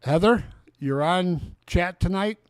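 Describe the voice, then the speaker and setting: A man's voice: sits high, takes it slowly, smooth, no echo. A man in his sixties hosting a casual political livestream chat. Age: 60s. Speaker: talk show host.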